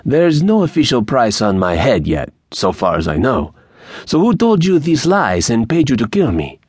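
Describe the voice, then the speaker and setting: A man voicing a sociable yet menacing bandit leader in an audiobook, smooth and deliberate. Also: mid-range voice, sing-song, a little mellow, dry recording, clean studio sound, voice pushed up. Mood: probing.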